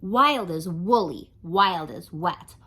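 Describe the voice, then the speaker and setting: A woman's voice: on the low side, expressive, slow, fairly bright tone, a little hiss or hum. A woman voicing an indignant little child in a timeout chair, protesting in sing-song rhyme.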